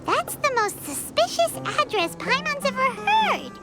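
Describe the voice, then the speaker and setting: A woman voicing a tiny childlike fairy companion in a video game, blunt, squeaky and suspicious, over music. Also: wildly swooping pitch, unhurried, heavily acted. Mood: complaining. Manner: squeaky, blunt, refers to herself by name. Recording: loud game music behind.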